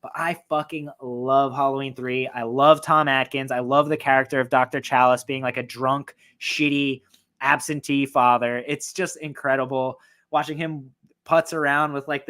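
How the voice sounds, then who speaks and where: A man with a mid-range voice talks through his favorite horror films, his throat going hoarse. He is openly excited and swears a lot, rambling with plenty of fillers. The tone is casual, like a fan chatting to his viewers. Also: medium pitch. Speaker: horror film reviewer. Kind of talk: casual film ranking talk.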